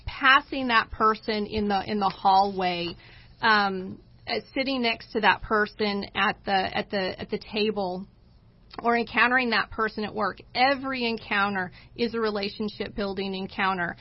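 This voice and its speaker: A woman's voice: medium pitch, moderate pace. Dull-sounding recording of an emphatic woman co-host. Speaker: talk show co-host. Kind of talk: conversational inspirational talk. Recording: dull, lo-fi audio.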